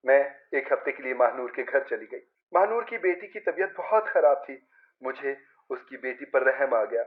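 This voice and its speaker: A man's voice: mid-range voice, animated, moderate pace, phone-quality sound. A man narrating a scripted first-person story.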